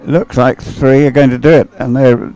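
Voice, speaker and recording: A man's voice: medium pitch, steady tone, normal speed, mellow, low-quality recording. A British man commentating live on a race in a conversational, somewhat hesitant way, thinking aloud.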